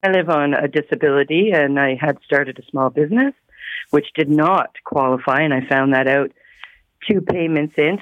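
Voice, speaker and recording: A radio phone-in caller giving an earnest personal account over a narrow phone line. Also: male voice, a little high, dry recording.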